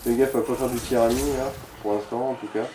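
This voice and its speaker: A man's voice: medium pitch, fairly even intonation, fairly quick. A man giving casual, crude-humoured gaming commentary as he guides a player. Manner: crude joking, swearing. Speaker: let's play commentator guiding the player. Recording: echoey room with faint background noise.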